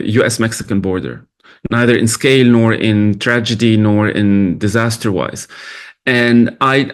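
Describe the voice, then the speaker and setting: A man from Lebanon, a film director, answering questions at a festival Q&A in a thoughtful, halting way with many restarts. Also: mid-range voice.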